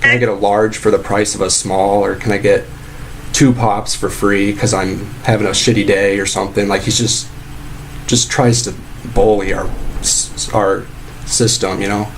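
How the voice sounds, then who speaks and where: A man playing an aggrieved fast-food worker making a halting, rambling, repetitive complaint in a casual way. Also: fairly low pitch, flat delivery, lightly acted. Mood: earnest, indignant. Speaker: prank caller posing as a sandwich shop employee. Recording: clearly noisy phone prank recording.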